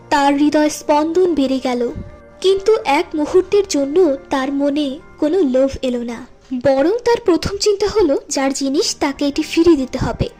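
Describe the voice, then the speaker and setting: A woman's voice: sits high, a little mellow. A woman narrating a scripted moral story for a cartoon. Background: music.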